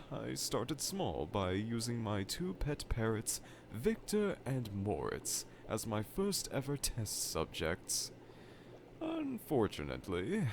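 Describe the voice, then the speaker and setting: A man playing a dejected, pompous evil scientist villain from a cartoonish game, delivering a theatrical, self-pitying roleplay monologue to the listener. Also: mid-range voice. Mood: rueful. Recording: clear background laboratory ambience noise.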